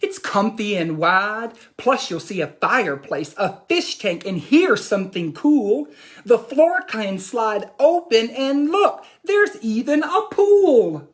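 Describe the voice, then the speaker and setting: Imaginative boastful boy for children's storybook, performed by a woman, emphatic showman delivery, unhurried. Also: fairly high pitch, lively intonation, voice pushed up. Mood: eager, showy. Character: imaginative boy proudly showing off his dream car. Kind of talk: expressive storybook read-aloud.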